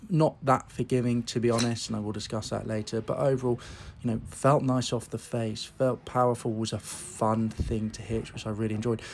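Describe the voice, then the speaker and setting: A man with a croaky voice from a cold, hosting a casual golf equipment review, chatty and reading out figures with lots of fillers. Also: medium pitch, little pitch movement, fairly quick, darkish tone, slight background noise. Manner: chatty, lots of "you know" and "um", reads out numbers. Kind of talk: casual product review talk.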